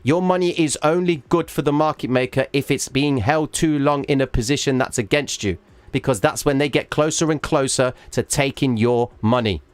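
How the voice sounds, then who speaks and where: A man's voice: medium pitch, moderate pace, dry recording. A man hosting a live trading stream, walking viewers through a chart in an emphatic way and often checking in with "all right?", while losing his voice. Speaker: trading livestream host.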